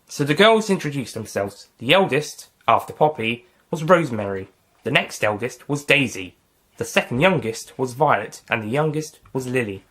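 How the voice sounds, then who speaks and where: A man's voice: medium pitch, lively intonation, normal speed, a bit bright. A man narrating his own fan audio story in a plain storybook reading. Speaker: writer and reader.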